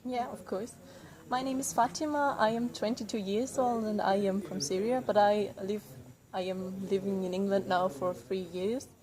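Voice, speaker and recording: A woman's voice: medium pitch, steady tone, moderate pace, darkish tone, natural voice, rough recording. A woman playing an earnest young newcomer in a classroom role-play, speaking hesitantly with many fillers. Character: young woman newcomer, eager community volunteer.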